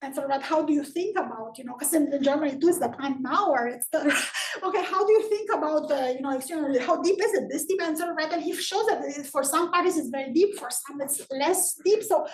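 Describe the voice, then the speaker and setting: A woman's voice: high-pitched, a little fast, hardly any pauses. A woman speaker answering audience questions after an academic talk, rambling and full of "sort of" and "right" fillers. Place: lecture room. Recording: echoey room, voice from far off, faint noise.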